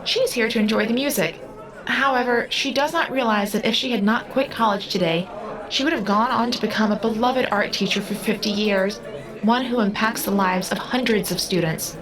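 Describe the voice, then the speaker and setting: A woman's voice: a little high, normal speed, close to an everyday voice, poor audio. A woman acting in an audio drama as a solemn, literal-minded girl who speaks formally and precisely. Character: solemn, literal-minded all-seeing girl under eighteen. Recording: busy club crowd and music behind.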